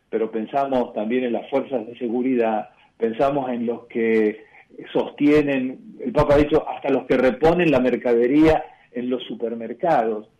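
A man with an Argentine accent, a bishop answering questions in a radio interview, measured and reflective, often pausing and restarting. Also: mid-range voice, mellow, muffled recording. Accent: Argentine Spanish.

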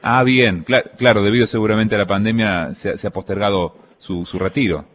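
A man hosting a religious radio interview, speaking haltingly with many pauses and restarts. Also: on the low side, steady tone, fairly bright tone. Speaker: radio host.

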